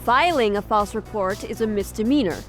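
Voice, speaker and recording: A woman's voice: mid-range voice, brisk, faint music behind, close to an everyday voice. A woman playing a no-nonsense, sardonic senior police officer in an audio drama. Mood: stern. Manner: firm, dry, sardonic.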